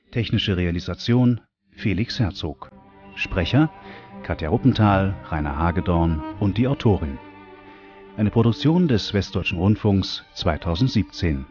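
A man's voice: deep voice, lively intonation, moderate pace, a little mellow. A man reading scripted credits as a radio announcer. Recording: slightly dull sound, music underneath.

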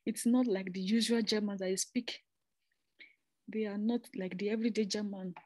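A woman's voice: mid-range voice, fairly even intonation, moderate pace, dark tone, no echo. A young woman from Nigeria giving an informal slide presentation, chatty and conversational, often restarting phrases with asides. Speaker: presenter explaining a master's program.

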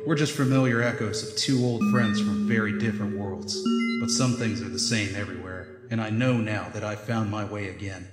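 A man's voice: fairly low pitch, fairly even intonation, brisk, long reverb tail, close to an everyday voice. A man voicing a downcast, haunted comic-book drifter who speaks earnestly and confessionally. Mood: wistful. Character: downcast, haunted drifter mourning a lost friend. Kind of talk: comic-book dub voice acting. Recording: voice under loud music. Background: loud music.

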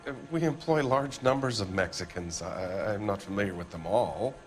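An American actor playing a defensive, evasive middle-aged factory owner in a video game, with an indignant, blustering manner. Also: male voice, lowish voice, moderate pace, faint music behind, natural voice.

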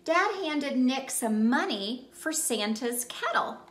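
A woman in her thirties doing an expressive children's picture-book read-aloud, addressing young listeners in an exaggerated way. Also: sits high, slow pace. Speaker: children's ministry storyteller and host. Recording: roomy indoor echo.

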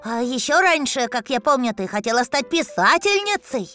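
Slightly fussy little cartoon boy: high voice, candid delivery, faint music behind. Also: big pitch swings, normal speed, fairly bright tone. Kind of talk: children's animation dub.

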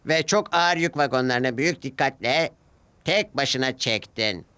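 A man voicing a firm but kindly railway controller with a measured, authoritative manner in a children's cartoon. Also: medium pitch, pushed and bright, smooth. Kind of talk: children's cartoon character dialogue.

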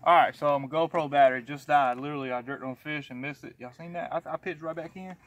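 An excitable man with a Southern US accent who repeats himself, chatting casually on a fishing vlog from a small open boat. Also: fairly high pitch, quick pace, lo-fi sound. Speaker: fishing vlogger. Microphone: action camera, worn or mounted nearby. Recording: outdoor action camera with background noise.

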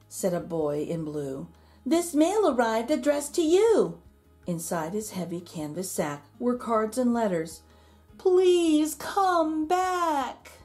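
A woman reading a rhyming children's picture book aloud in an expressive, measured storytelling manner. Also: medium pitch. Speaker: storybook reader.

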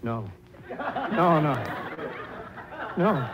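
Man as exasperated high-pitched store clerk. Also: big pitch swings, very slow, muffled recording, crowd noise, heavily acted. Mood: despairing. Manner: pleading, melodramatically worked up. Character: exasperated male store clerk, long-suffering, near breakdown. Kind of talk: radio comedy sketch acting.

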